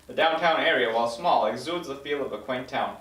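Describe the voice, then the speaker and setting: A man's voice: mid-range voice, fairly quick, a bit bright. A man reading stage directions as scripted narration at a table read of a screenplay. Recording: roomy echo, distant microphone.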